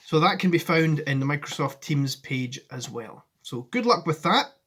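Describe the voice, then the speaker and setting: A man's voice: a little high, lively intonation, normal speed, fairly bright tone, slightly rough. A man in his forties, a teacher reading a children's story expressively and addressing his pupils in a friendly way at home. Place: living room at home. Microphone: device camera at arm's length.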